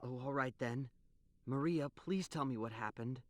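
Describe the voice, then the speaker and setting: An actor playing an earnest, bewildered youth of nineteen in a video game, boyish and hesitant. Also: male voice, mid-range voice, normal speed, no room sound, lightly acted. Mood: flustered. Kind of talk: video game cutscene acting.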